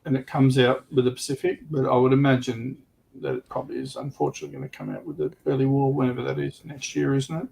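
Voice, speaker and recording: A man's voice: mid-range voice, very animated, quick pace, creaky, darkish tone, rough recording. A man on a livestream panel, chatting casually about a hobby.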